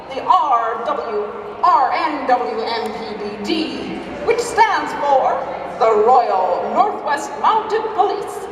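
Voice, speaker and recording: A woman playing a bumbling, lovesick male Mountie sergeant, declaiming grandly in live melodrama theater. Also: fairly high pitch. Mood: officious. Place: live amateur stage performance at fair. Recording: echoey hall from far off, noisy.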